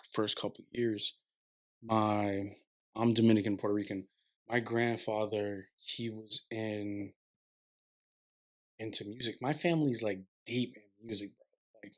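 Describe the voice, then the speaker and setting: A man's voice: fairly low pitch, a bit bright. A young man, a guest on a podcast, talking in a laid-back way with slang like "bro" and "yo". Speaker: podcast guest, musician and vocal engineer. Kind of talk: casual conversational interview. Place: warm studio under lights.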